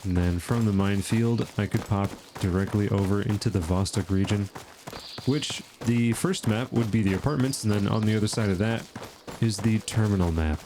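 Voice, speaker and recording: Man, lowish voice, stuffy nasal nose, rambling gameplay commentary, clear game noise behind. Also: moderate pace. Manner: chatty, thinking aloud with fillers. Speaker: gaming channel host.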